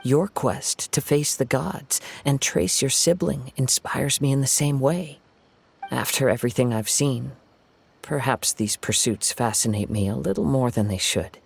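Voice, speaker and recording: An actor playing a gentle, reflective young wandering swordsman in a video game, speaking in a measured, formal, contemplative way. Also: mid-range voice, vocal fry, husky. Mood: reflective, earnest.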